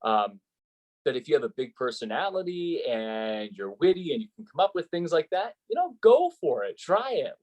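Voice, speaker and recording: A man's voice: fairly high pitch, very animated, normal speed, darkish tone, low-quality recording. An American man, a sports play-by-play announcer, giving advice conversationally in an interview and explaining with examples and rhetorical questions.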